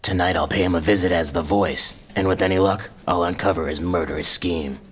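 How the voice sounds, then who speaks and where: A man's voice: deep voice, steady tone, brisk, natural voice. A man playing a self-important, bumbling crime fighter who poses as a mysterious specter, pompous and theatrical, in a comic superhero audio drama. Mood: earnest, scheming.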